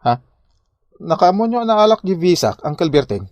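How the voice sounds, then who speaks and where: A man's voice: high-pitched, big pitch swings, moderate pace, a little mellow, no room sound. An actor playing a flustered, aggrieved village man in Ilocano comedy drama, with an Ilocano accent.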